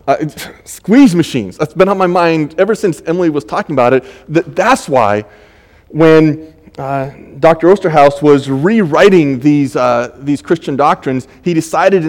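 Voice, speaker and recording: An older man preaching a sermon as pastor, conversational and halting, with many fillers and restarts. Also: on the high side, in a large hall.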